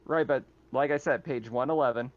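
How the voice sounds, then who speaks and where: A man's voice: mid-range voice, a little fast. Man running a tabletop game, dull audio. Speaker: game master. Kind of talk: tabletop roleplaying session talk, narrating and citing rules.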